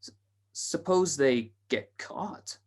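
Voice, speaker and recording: A man acting a nervous, slow-witted gangster's henchman in a radio play, hesitant and stammering, with slangy speech. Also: fairly high pitch, lively intonation, a little mellow. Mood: anxious, disbelieving.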